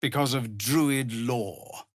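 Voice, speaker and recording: A middle-aged man playing a weary, dutiful tiefling commander of refugees in a video game, speaking formally and earnestly with measured authority. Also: medium pitch, dry recording, clean studio sound, natural voice. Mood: grave, earnest. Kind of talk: dramatic video game voice acting.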